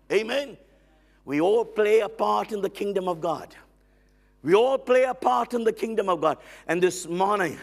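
A middle-aged man preaching a lively sermon as a guest on a church stage, loud and excitable, with frequent amens and hallelujahs. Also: sits high. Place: church stage with band instruments.

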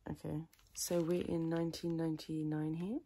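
A woman softly improvising an ASMR personal attention role play as a tailor, chatting in a rambling, chaotic way close to the microphone. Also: deep voice, level tone, normal speed, husky. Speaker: ASMR creator playing a tailor.

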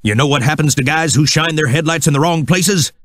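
A man's voice: on the high side, fairly quick, a bit bright, no echo, studio quality, close to an everyday voice. A man voicing a mean, bullying male forklift henchman in a storybook. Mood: menacing.

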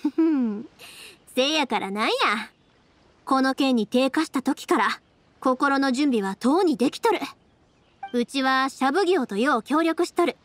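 Cheerful young Kansai woman, high-pitched. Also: animated, a little slow, a little hiss or hum. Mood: breezy, confident. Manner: friendly, breezy, folksy. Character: cheerful, warm-hearted young woman fireworks maker in anime game. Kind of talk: anime game voice acting.

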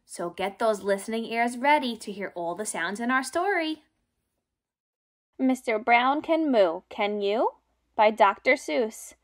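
A young woman teaching and reading aloud to small children in simple, deliberate phrases. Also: fairly high pitch, animated. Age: young adult. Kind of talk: children's read-aloud lesson.

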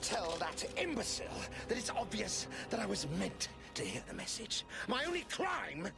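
A man's voice: high voice, wildly swooping pitch, moderate pace, vocal fry, exaggerated voice. A man playing a proud, indignant spy in a video game, imperious and vehement. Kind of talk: video game drama acting. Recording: very loud music underneath.

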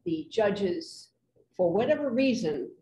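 A woman in the audience giving her opinion slowly and deliberately. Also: medium pitch, lively intonation, mellow. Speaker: audience member. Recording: dull echoey room from far off.

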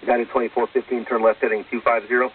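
A man working as an air traffic controller speaks in a quick, flat delivery with clipped procedural phrasing. He comes over a narrow, phone-like radio link that sounds distant and a little husky. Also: mid-range voice, no echo. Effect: aviation radio.

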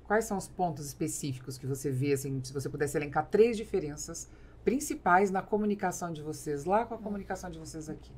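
A woman's voice: sits low, lively intonation, quick pace, darkish tone. A woman in her forties with a Brazilian accent, hosting a casual interview with questions and short replies. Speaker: podcast host. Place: small padded podcast studio. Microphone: studio microphone on boom arm. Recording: close podcast mic with background noise.

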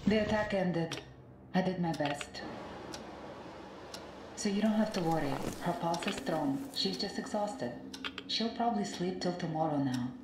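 An actress playing a gentle, caring woman medic in a video game, soft and reassuring. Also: fairly low pitch, expressive, measured pace, long pauses, roomy sound, noisy background. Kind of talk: dramatic video game acting.

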